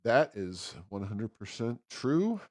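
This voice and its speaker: A man hosting a podcast, giving conversational political commentary with frequent pauses mid-sentence. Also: mid-range voice, very animated, no room sound, studio quality. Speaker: podcast host.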